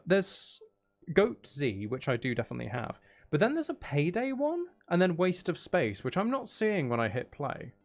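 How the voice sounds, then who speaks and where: A man giving casual livestream commentary on a video game, talking to himself with mutters and exclamations. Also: fairly high pitch. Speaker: game streamer.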